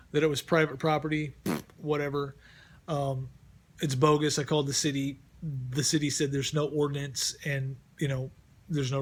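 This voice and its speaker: Rambling middle-aged man, slightly high voice. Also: fairly bright tone. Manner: rambling, repeats words and restarts often. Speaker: vlogger. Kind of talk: casual vlog chat. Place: at home. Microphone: close mic.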